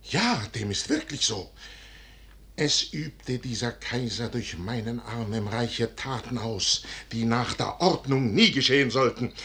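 Gravelly, brooding proud commander. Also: male voice, mid-range voice, a bit bright. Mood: reflective, grave. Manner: grave, deliberate, theatrically declaimed. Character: proud, brooding male army commander torn before a fateful decision. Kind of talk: 1950s German radio drama, classical stage declamation.

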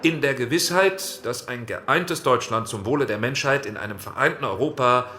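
A man's voice: mid-range voice, moderate pace, bright, forceful tone, natural voice. A man playing a careful, conciliatory post-war committee member, speaking formally and politely in a radio docudrama. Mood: considered, diplomatic.

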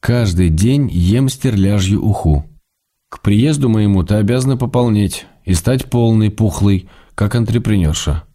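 An actor playing an affectionate, playful middle-aged husband, a writer reading his letters in an intimate, measured way for a radio drama. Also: lowish voice, lively intonation. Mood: playfully stern. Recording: loud music behind the voice.

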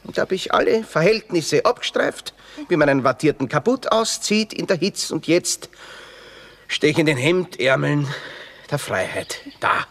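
Sardonic, florid young Austrian drifter. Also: male voice, a little high, very animated, moderate pace, fairly bright tone, heavily acted. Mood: self-pitying, theatrical. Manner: florid, pompous wordplay with dry asides. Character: witty, bitter red-haired young drifter, grandiloquent and sardonic. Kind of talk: classic Viennese stage comedy radio acting.

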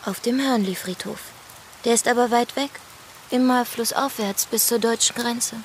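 A woman's voice: a little high, normal speed, a little hiss or hum, natural voice. An actress playing a sober young woman who gives formal testimony in a radio drama. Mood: matter-of-fact.

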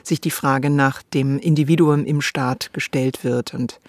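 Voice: mid-range voice, fairly even intonation, unhurried, no echo. A podcast co-host, discussing radio drama conversationally, thinking aloud and giving opinions.

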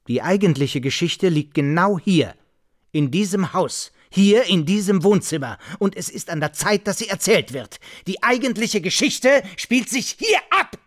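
Radio drama: stern, pompous husband, fairly high voice, indignant formal lecturing, dry sound. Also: male voice, no room sound. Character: indignant, pompous married man lecturing a guest. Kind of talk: German radio play acting.

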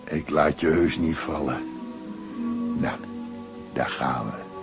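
A man's voice: a bass voice, slow, creaky, over loud music, heavily acted. An actor playing a fatherly, caring night porter in a radio play, coaxing and solicitous. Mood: coaxing, reassuring. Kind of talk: radio drama acting.

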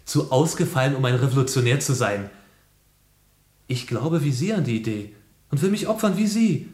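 An actor playing a sensitive, idealistic young revolutionary in a German radio play, speaking earnestly and with passion. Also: male voice, mid-range voice, lively intonation, normal speed, a little mellow, echoey room, lightly acted. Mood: impassioned. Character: idealistic, sensitive young male revolutionary who loves life.

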